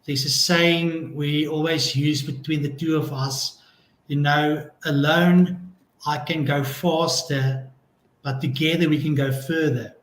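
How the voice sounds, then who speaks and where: A man talking as a songwriter guest on a live-stream video call, slow and thoughtful. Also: fairly high pitch, steady tone. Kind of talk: casual live-stream chat. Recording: echoey room.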